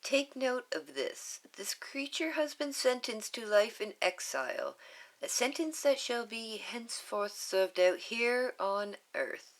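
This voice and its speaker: A woman's voice: on the high side, expressive, slow, voice pushed up. A woman reading a storybook aloud, voicing a stern alien leader making a formal proclamation.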